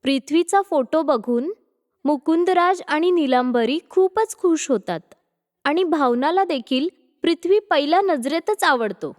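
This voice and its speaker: Female storyteller, scripted moral-tale narration, high voice, long reverb. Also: expressive, measured pace, a little mellow.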